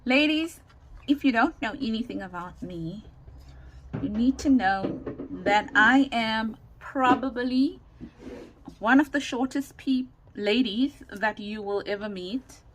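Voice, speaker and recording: Woman, unhurried pace, casual craft host, rambling and self-interrupting, carrying voice, faint background noise. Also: a little high. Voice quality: loud, carrying voice. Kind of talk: casual chatty craft demonstration. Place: indoors at a home craft desk. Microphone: camera or phone without separate microphone. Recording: noisy room, no microphone.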